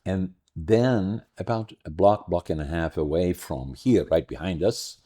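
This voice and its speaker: Older man, very deep voice. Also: a little slow, dark tone, husky. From Netherlands. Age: 80s. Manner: rambling, storytelling, self-correcting. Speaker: podcast host. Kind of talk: casual interview chat.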